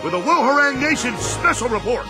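A man's voice: very high-pitched, animated, a little fast, over music. An actor playing an alarmist, pompous male TV news pundit delivering a sensational report in a video game. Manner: pompous, theatrical.

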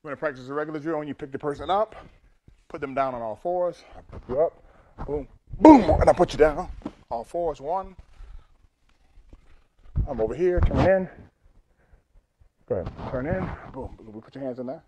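An older man coaching judo in a large gym, explaining takedowns step by step and punctuating each move with "boom". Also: moderate pace, long pauses, mellow, slight background noise. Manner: punchy, repeats "boom" between steps. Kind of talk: demonstrating techniques to students. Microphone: camera across the room.

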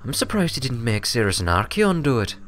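A man's voice: mid-range voice, big pitch swings, a little fast, fairly bright tone, slight background noise, pitched above the performer's own voice. A man voicing a smug, serious young filly pony who explains things matter-of-factly in a story reading.

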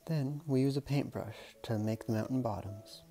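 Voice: medium pitch, normal speed, dark tone, soft background music. A storyteller reading a picture book aloud in a casual, playful way, with chatty murmured asides.

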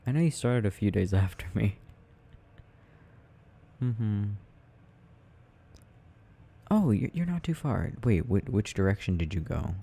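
Tender boyfriend male actor, late-night ASMR roleplay: slow, gravelly murmur, doting and gently teasing. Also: fairly low pitch, big pitch swings, heavily acted. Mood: relaxed, gently rambling. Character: tender, doting boyfriend soothing his sleepy partner at night.

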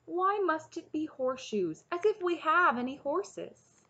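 A woman's voice: medium pitch, animated, normal speed, fairly bright tone, dull audio. A woman reading a story, voicing an eager, impatient youth who protests and pleads. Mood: indignant.

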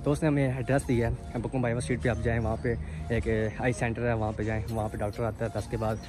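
پاکستانی لہجے میں نوجوان مرد، پیچھے موسیقی. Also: male voice, medium pitch, level tone, quick pace. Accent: Pakistani. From Pakistan. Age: 20s. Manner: explanatory, mixes English words into speech. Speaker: vlogger, university student. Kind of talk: casual vlog chat to camera. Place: city streets outdoors and inside shops. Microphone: phone at arm's length. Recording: phone vlog with music laid over. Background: background music.

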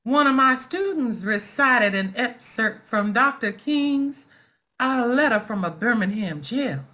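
A woman's voice: on the high side, animated, a little slow, pushed and bright. A middle-aged woman playing a proud, mouthy schoolteacher in a play reading on a video call. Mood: conversational. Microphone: video call microphone.

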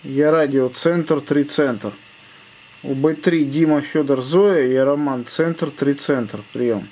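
A man's voice: medium pitch, takes it slowly, darkish tone. A man making casual amateur radio contact, spelling out call signs in phonetic words. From Russia.

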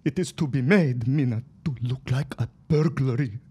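An actor playing an anguished, subservient henchman in a period audio drama, with grave, formal, halting speech and a husky voice. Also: medium pitch, mellow. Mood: troubled.

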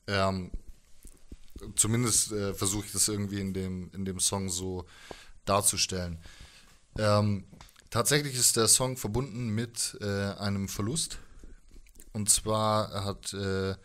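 A middle-aged man telling a personal story as an interview guest, thoughtful and halting, in colloquial words. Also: lowish voice, fairly even intonation, fairly bright tone. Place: indoors, living room with instruments. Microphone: handheld microphone held close.

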